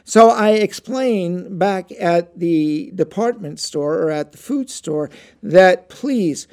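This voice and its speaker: A man's voice: high-pitched, animated, a little mellow. A man talking in a casual, earnest monologue, rambling a little as he reflects. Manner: earnest, rambling, slow and thoughtful.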